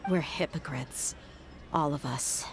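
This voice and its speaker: A woman's voice: deep voice, slow, rough recording. An actress playing a tough, candid young mercenary woman in a video game, reflective and frank. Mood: bitter, reflective. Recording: game music behind the voice.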